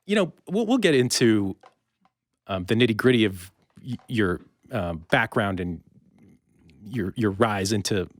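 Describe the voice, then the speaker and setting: A man hosting a podcast in a conversational interview style, speaking haltingly and searching for his words. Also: on the low side, animated, dry recording. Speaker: podcast host.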